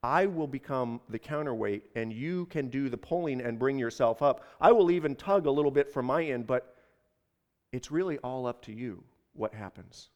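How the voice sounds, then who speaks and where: A man's voice: high voice, expressive, moderate pace, few pauses, big-room sound, voice pushed up. A man voicing a burdened traveler, exasperated yet principled, speaking earnestly in a dramatized story. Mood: calm, resolute.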